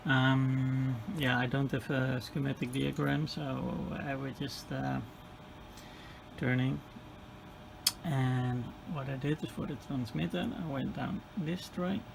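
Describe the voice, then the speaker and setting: A man in his forties with a Dutch accent, repairing an old radio and thinking aloud step by step with hesitant pauses. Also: mid-range voice, level tone, gravelly, background noise. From Netherlands. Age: 40s. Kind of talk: hands-on repair vlog.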